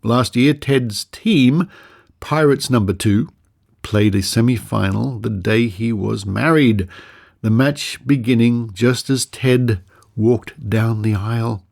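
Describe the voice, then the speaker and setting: Gravelly Australian man reading aloud. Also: medium pitch, takes it slowly, darkish tone. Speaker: podcast presenter. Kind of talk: reading old newspaper articles aloud with asides.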